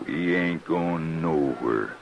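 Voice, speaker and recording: An American actor playing a drunken, belligerent cowboy in a 1950s Western radio drama, surly and goading, with a slurred drawl. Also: male voice, a bass voice, takes it slowly, creaky, noisy background, exaggerated voice. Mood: menacing, surly. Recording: dull old radio recording, crowd behind.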